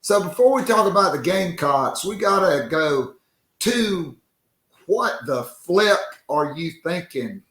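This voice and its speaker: Hoarse male sports podcast host, slow. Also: high-pitched, animated, a bit bright, echoey room. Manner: loose and joking, slow drawn-out delivery. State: hoarse, worn-out voice. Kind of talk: casual sports talk.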